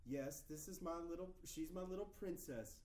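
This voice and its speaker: A man's voice: fairly high pitch, normal speed, darkish tone, distant mic, natural voice. Sassy, flamboyant bridesmaid played by a man, roomy recording. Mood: flirty, sassy. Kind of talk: comedy screenplay table read. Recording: roomy table read with clear background noise.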